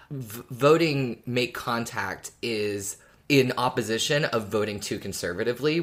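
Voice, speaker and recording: A podcast speaker in a casual fan discussion, thinking aloud in a hesitant, reflective way. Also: medium pitch. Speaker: podcast co-host or guest discussing an audio drama.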